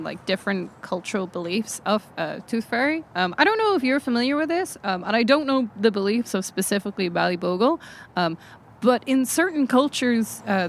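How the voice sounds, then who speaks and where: A woman's voice: mid-range voice, a bit bright, slight background noise. Woman playing a tactful curious investigator, kinda hesitant explaining, bit of background ambience. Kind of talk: improvised role-play dialogue.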